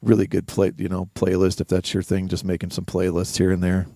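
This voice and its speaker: A man co-hosting a podcast, giving casual album commentary in a halting but enthusiastic manner. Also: deep voice, completely flat delivery, gravelly, mellow, dry recording, studio quality. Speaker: podcast co-host.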